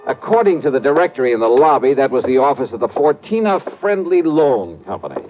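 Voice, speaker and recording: An actor playing a shrewd, dry-witted insurance investigator in an old radio drama, speaking in a clipped, wry way. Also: male voice, medium pitch, sing-song, a little fast, narrow, tinny audio, a little hiss or hum, lightly acted. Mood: wry, tense narration. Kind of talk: 1940s-50s radio detective acting. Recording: band-limited old radio recording, faint music.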